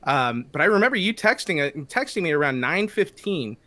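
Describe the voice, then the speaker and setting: Podcast guy telling a story quick, high voice. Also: very animated, pushed and bright. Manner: storytelling, rambling with fillers. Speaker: podcast co-host. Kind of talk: casual chat.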